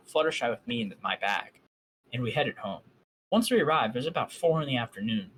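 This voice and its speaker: Dude reading bad fanfic out loud on a call, lots of snarky side comments, voice bounces up and down. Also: male voice, mid-range voice, slight background noise, low-quality recording. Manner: mocking, sarcastic asides. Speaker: fan fiction reader. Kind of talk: reading aloud to a voice chat.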